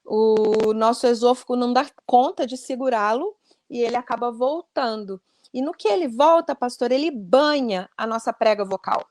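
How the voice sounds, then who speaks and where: High-pitched woman explaining voice health over a video call, very dry sound, keeps saying "né?". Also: moderate pace, a little mellow, dry recording. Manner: earnest and explanatory, many "né?" tags. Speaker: speech therapist guest. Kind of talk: explanatory talk on voice care.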